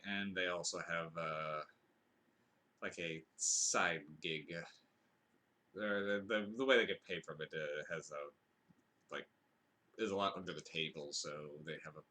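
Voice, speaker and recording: A man's voice: low-pitched, unhurried, long pauses, sharp tone. A man hosting a podcast, giving a casual, rambling film review full of fillers and restarts, with dry asides.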